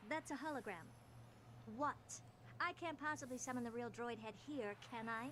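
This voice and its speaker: A woman's voice: on the high side, normal speed, very noisy, close to an everyday voice. A woman playing a haughty young female genius scientist in a video game, dismissive, proud and self-assured. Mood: exasperated.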